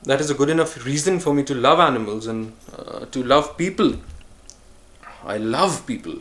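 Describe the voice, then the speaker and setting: Earnest male vlogger, mid-pitched, unhurried musing delivery, pauses and self-corrections. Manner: earnest, speaks of choosing calm over harshness. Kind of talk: reflective solo monologue.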